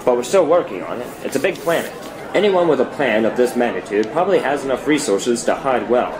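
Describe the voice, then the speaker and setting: Guy doing an earnest superhero leader, mid pitched voice, measured and reassuring, screenplay read. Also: lively intonation, a crowd in the background, close to an everyday voice, poor audio. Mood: reassuring, firm. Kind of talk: dramatic screenplay table read.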